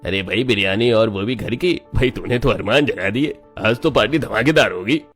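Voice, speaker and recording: A man voicing men in a cartoon story, with lively, expressive delivery. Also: lowish voice, normal speed, slightly rough, faint music behind. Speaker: voice actor voicing several men. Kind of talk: cartoon dialogue.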